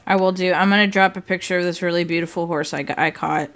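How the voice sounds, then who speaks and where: Chatty woman co-host, lowish voice. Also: steady tone, quick pace, a bit bright. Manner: chatty, rambling, laughs often. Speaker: podcast co-host. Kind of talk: casual chat.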